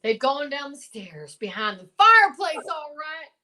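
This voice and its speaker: A woman's voice: high voice, sing-song, measured pace, pushed and bright, heavily acted. A woman playing a determined, blustering male marshal in an old-time radio serial, with an emphatic, folksy, commanding delivery.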